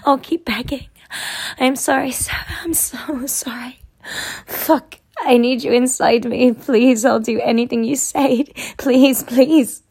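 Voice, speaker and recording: A woman playing a breathy, pleading young woman. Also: high voice, fairly even intonation, unhurried, darkish tone, heavily acted. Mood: begging. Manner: pleading, breathy, often dropping to a whisper. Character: submissive young woman, pleading and eager to please. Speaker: voice actor in an adult audio roleplay. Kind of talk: scripted audio roleplay acting.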